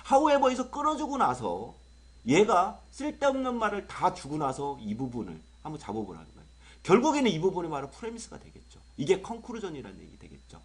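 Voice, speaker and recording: A young adult male English tutor with a sore throat from a cold, lecturing in an excitable, exaggerated way full of rhetorical questions. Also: high-pitched, fairly quick, husky. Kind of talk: online exam-prep lecture.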